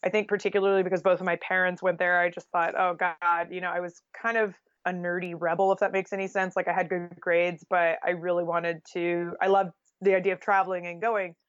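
A woman's voice: lowish voice, flat delivery, brisk, few pauses, no echo, highs cut off. An American woman telling stories casually as an interview guest, chatty and sprinkled with "like". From Oregon, United States.

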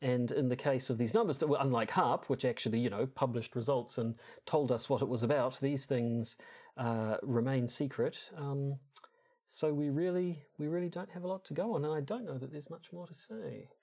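A man's voice: medium pitch, moderate pace, a little mellow. A man co-hosting a podcast in casual discussion, with a hesitant, rambling delivery that often repeats words. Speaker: podcast co-host.

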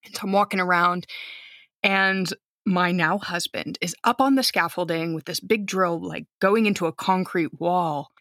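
Lower-voiced outgoing American woman. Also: moderate pace, no room sound, clean studio sound. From United States. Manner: animated, outgoing storyteller who acts out quoted lines. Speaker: guest, teacher. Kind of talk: casual storytelling conversation.

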